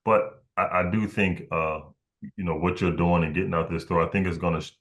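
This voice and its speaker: A man hosting a casual podcast interview, talking in a halting, meandering way and often repeating words. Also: low-pitched, fairly even intonation, fairly bright tone, muffled recording.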